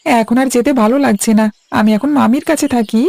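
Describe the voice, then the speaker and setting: Woman acting a quick, creaky-voiced young boy. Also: on the high side, mellow. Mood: curious. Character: curious, determined young nephew, a village boy. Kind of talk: Bengali cartoon voice acting. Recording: music under the voice.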